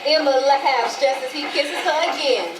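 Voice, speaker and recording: A woman's voice: high-pitched, unhurried, background noise. A woman giving scripted narration, reading out a play's characters and stage directions. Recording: echoey, distant, music behind.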